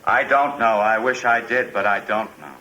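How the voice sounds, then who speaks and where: An actor playing a despairing, volatile forger in a halting, agitated radio drama style. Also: male voice, on the low side, lo-fi sound, lightly acted. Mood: troubled, confused. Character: despairing, volatile forger cornered at home with his dogs. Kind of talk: 1950s radio drama acting. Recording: noisy old 1950s radio recording.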